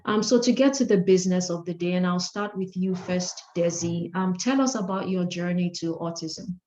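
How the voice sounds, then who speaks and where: A woman co-host introducing guests in a measured, formal way, with an occasional "um.". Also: on the low side, mellow, slight background noise. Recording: echoey, dull lo-fi audio.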